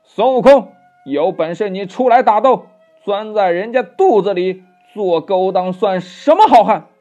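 A man's voice: high-pitched, lively intonation, a little slow, dark tone, voice pushed up. A man voicing a scheming younger demon king, sly and goading, in a storybook tale. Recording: dull lo-fi storytelling recording with music.

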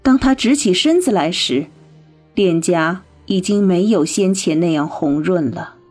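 A woman reading scripted narration for a radio drama in an expressive storytelling manner. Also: lowish voice, unhurried, faint music behind.

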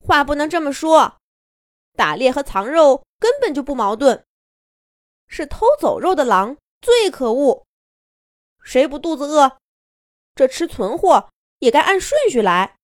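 A woman voicing a pack of quarrelling adult wolves, arguing loudly with a raised voice. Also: high voice, sing-song, unhurried, pauses often, no echo, studio quality, voice pushed up. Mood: quarrelsome.

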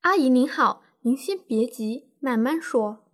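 A woman plays a lawyer advising a client in an educational radio drama. Her voice sits somewhat high, and she stays calm and reassuring as she lays out legal points in formal, explanatory phrasing. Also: measured pace. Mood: reassuring, firm.

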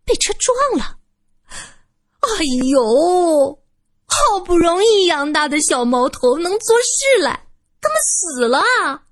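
A woman's voice: medium pitch, huge pitch swings, moderate pace, husky, no room sound, clean studio sound, voice pushed up. A woman voicing a greedy old brothel madam who shrieks, wails and sneers. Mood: wailing.

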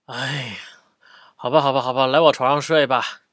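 A woman's voice: rumbling low, fairly even intonation, normal speed, no echo, clean studio sound, pitched below the performer's own voice. A woman voicing a big grown-up mouse in a children's story, sleepy and patient, grumbling and sighing. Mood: resigned. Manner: grumbling, sighing, matter-of-fact.

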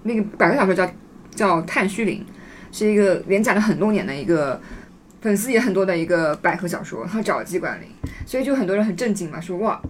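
A woman hosts a casual podcast chat at a brisk clip. She is talkative and reflective, with a mid-pitched voice. A faint layer of noise sits behind her. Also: animated. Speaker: podcast host.

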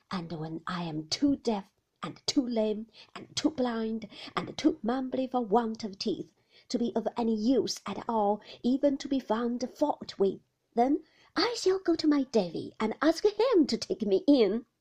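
A woman's voice: fairly high pitch, lively intonation, unhurried, mellow, husky, full character voice. A woman voicing a devoted, scolding maidservant in a storybook, with emphatic, homely exclamations. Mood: fiercely devoted. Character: devoted, scolding older maidservant, blustering and tender.

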